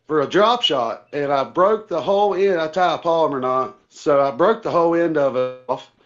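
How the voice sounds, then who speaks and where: Dull-sounding call, folksy higher-voiced man. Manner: plain-spoken, folksy. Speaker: interview guest, tournament angler. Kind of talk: casual storytelling chat. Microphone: remote call.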